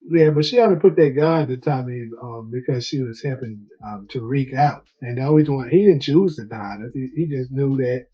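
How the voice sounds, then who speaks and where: A man co-hosting a casual TV show discussion, relaxed and quick to laugh, reading out viewer comments. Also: mid-range voice, muffled recording. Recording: faint music behind.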